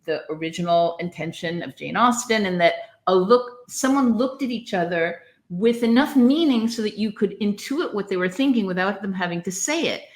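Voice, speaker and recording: A woman's voice: medium pitch, expressive, moderate pace, few pauses. A middle-aged woman co-hosting a podcast, discussing literature casually in an emphatic, opinionated way that wanders into tangents. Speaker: podcast co-host.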